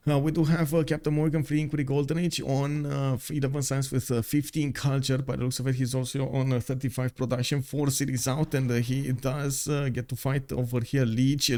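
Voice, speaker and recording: A young man calls a strategy match live, his mid-range voice pausing often on fillers and restarting sentences. Now and then he breaks into sudden excited exclamations. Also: mid-range voice. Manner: filler-heavy, halting, frequent uh and restarts. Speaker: game caster. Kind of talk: live game commentary.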